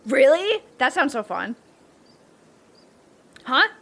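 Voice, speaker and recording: Young monster-hunting camper played by a woman: cocky, playful, soft-hearted tease, slightly high pitch, comedic roleplay. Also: sing-song, slow pace, full character voice. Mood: curious, excited. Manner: teasing, brash, playful. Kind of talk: comedic audio roleplay acting.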